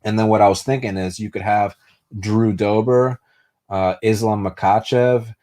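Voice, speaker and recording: A man's voice: lowish voice, fairly even intonation, moderate pace, dark tone. A young man hosting a casual live stream, answering viewer comments in a loose, profane way full of fillers and restarts. He grew up speaking Spanish first and was raised in the United States. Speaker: host.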